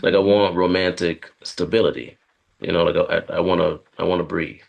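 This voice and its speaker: An actor reading a script as an anxious, lonely, career-driven man who confides haltingly to a therapist. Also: low-pitched, fairly even intonation, gravelly, dull audio, full character voice. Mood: wistful, hesitant. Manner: hesitant, halting, confessional. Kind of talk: dramatic script read.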